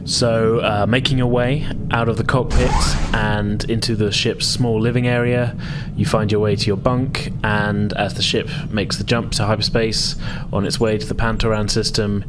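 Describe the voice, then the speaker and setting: A man narrating a tabletop game as game master, with measured, descriptive storytelling. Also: lowish voice, flat delivery, noisy background.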